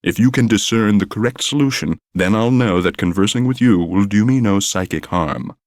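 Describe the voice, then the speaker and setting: A man's voice: on the low side, moderate pace, no room sound, clean studio sound, natural voice. An actor playing a pompous, prickly male psychic in a mystery game, with a precise, mildly sarcastic delivery. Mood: lofty. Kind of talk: video game voice acting.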